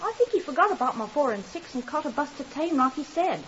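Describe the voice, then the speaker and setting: A fretful landlady, very high and animated in voice. She chatters in a flustered, deferential way, as in a 1950s radio play. The recording is dull. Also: fast talker, slight background noise. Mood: defensive. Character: fretful, chatty, deferential landlady lending money to her lodger. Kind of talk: 1950s radio drama acting.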